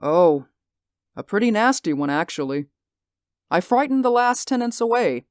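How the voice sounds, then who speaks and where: An actor playing a bitter, vengeful middle-aged stage actor in an old radio drama, theatrical and gloating, dropping into quiet menace. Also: male voice, sits high, sing-song, normal speed, clear, resonant tone, no room sound, studio quality. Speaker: villain. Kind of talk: 1940s radio acting.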